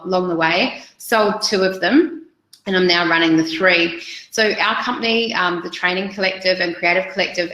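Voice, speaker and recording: A woman's voice: lowish voice, bright, forceful tone, reverberant, poor audio. A woman in her forties with a New Zealand accent, presenting a business webinar over slides in an upbeat, chatty way with frequent ums.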